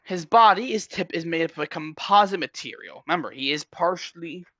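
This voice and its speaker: Bright-voiced man rambling to his chat. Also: fairly high pitch, lively intonation, brisk, no room sound. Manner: rambling, self-correcting, talks straight to chat. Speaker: streamer. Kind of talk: casual livestream chat.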